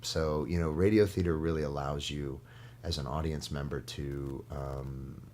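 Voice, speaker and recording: Very deep-voiced man in casual interview. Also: steady tone, measured pace, a little mellow. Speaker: guest, stage performer. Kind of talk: casual studio interview chat. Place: studio. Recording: noticeable background noise.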